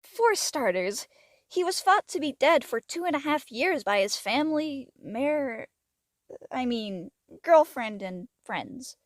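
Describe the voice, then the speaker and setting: A woman's voice: high-pitched, expressive, slow pace, dry recording, clean studio sound. A woman voicing a scholarly, encouraging pony princess aunt in an amateur cartoon audio drama, explaining things in a reassuring way.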